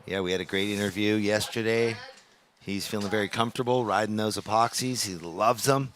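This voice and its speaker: A man's voice: fairly low pitch, steady tone, a little fast, a bit bright, a little hiss or hum. A man doing live commentary and announcing scores and priority at a surf contest. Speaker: webcast commentator and beach announcer.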